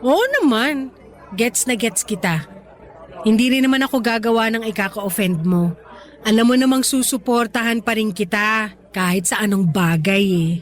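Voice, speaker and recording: A woman's voice: fairly high pitch, normal speed, a faint crowd, close to an everyday voice. A woman acting in a radio drama as a playful, skeptical young woman who is a stubborn but affectionate friend, talking casually with teasing retorts. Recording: studio dialogue over faint music.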